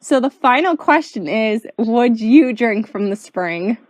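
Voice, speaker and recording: A woman's voice: a little high, lively intonation, measured pace, dry recording. A woman interviewer chatting casually and enthusiastically with her guest.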